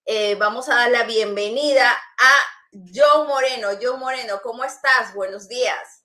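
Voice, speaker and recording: A woman's voice: on the high side, unhurried, sharp tone. A woman hosting a business talk show, greeting the audience and introducing her guest in a friendly way, with hesitant restarts. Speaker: host.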